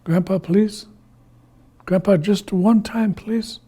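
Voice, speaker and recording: A man voicing a childish, sulky trickster in an oral folktale, whining, begging and excitable. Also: medium pitch, normal speed, slightly rough. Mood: pleading. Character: childish, sulky, pleading trickster who turns greedy.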